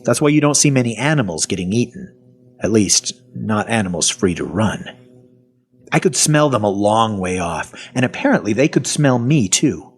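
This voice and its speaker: A man's voice: on the low side, lively intonation, normal speed, slightly rough. An actor playing a wry, cynical half-zombie man in an audio fiction drama, telling his own story in a sardonic, colloquial manner. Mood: matter-of-fact, wry. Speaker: voice actor and narrator. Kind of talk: first-person audio fiction narration and dialogue.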